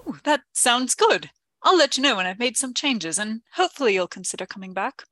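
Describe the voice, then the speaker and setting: A genteel, fretful lady professor acted out by a woman, high in pitch and very animated. She is polite and earnest, a little hesitant, in an old-fashioned melodramatic radio play style, recorded close and dry. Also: brisk, dry recording. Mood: grateful. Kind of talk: melodramatic radio drama acting.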